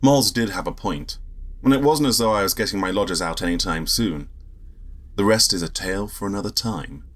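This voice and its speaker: A man's voice: on the low side, a little fast. A man in his thirties playing a put-upon, grumbling character in an audio drama. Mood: wry narration. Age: 30s. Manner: grumbling, animated. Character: put-upon, protesting man sharing his body with AIs.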